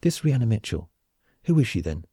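A man's voice: lowish voice, big pitch swings, brisk, dark tone, breathy edge, dry recording, clean studio sound, full character voice. Man voicing a wry, irreverent spaceship crewmate. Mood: curious. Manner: sardonic, informal. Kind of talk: audiobook character acting.

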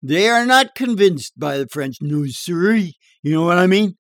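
A man's voice: high-pitched, lively intonation, moderate pace, a bit bright, dry recording, clean studio sound, voice pushed up. A man voicing a brash barroom joker in an audiobook, telling dirty yarns in an emphatic, drawling, slangy, conspiratorial way. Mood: cocky storytelling. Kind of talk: audiobook character dialogue.

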